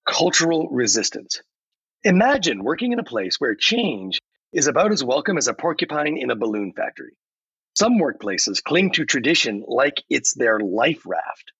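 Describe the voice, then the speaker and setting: A man voicing a helpful, upbeat AI chatbot guest, explaining points in a measured, scripted way. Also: gravelly, fairly bright tone, breathy edge, exaggerated voice. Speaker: podcast guest. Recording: roomy, muffled low-fidelity recording.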